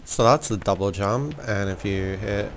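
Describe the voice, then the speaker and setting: A man's voice: on the low side, normal speed, gravelly. A man giving a casual, informal and slightly apologetic walkthrough commentary on a video game. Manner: informal, apologetic, self-deprecating. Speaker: gaming video creator.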